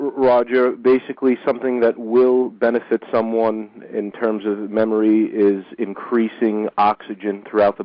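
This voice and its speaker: Low-voiced male radio host, dry lo-fi sound. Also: no echo. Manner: measured, repeats words when starting phrases. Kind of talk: call-in radio hosting. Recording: dull dry lo-fi radio recording.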